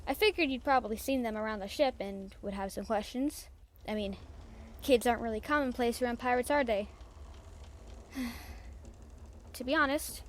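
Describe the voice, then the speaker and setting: Audio roleplay pirate captain played by a woman: slightly high voice, salty, casual, confiding, warm-hearted, over faint background noise. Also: close to an everyday voice. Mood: fond, sober. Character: brash, warm-hearted female pirate captain, fond and teasing. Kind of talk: audio roleplay acting, chatting to the listener.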